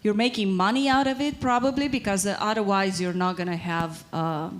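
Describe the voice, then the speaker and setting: A woman's voice: medium pitch, fairly even intonation, fairly quick. A woman on a live panel, making her points one after another in an emphatic way. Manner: emphatic, makes points in sequence. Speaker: panel speaker.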